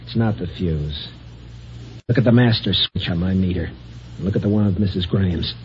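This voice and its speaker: A man's voice: low voice, normal speed, close to an everyday voice. An actor playing a nervous, desperate man of middle age who stammers and breaks into panic, in 1950s radio style. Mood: tense. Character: frightened, desperate middle-aged office man. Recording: dull old radio broadcast with hiss.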